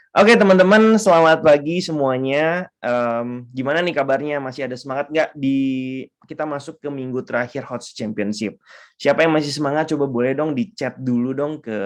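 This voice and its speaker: A man with a hoarse, congested voice leading an upbeat online class as a trading mentor, often pausing on fillers and mixing in English terms. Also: mid-range voice.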